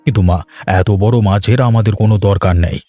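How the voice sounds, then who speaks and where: Casting: male actor as domineering village man for a cartoon, lowish dark voice, measured and assertive. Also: faint music behind, close to an everyday voice. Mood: exasperated. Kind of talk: cartoon voice acting.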